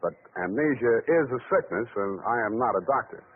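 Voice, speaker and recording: An American actor playing a wry, hard-boiled male private detective in 1940s radio style, dry, wisecracking and unhurried. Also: medium pitch, animated, natural voice. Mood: dry, offhand. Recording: tinny, noisy 1940s radio recording.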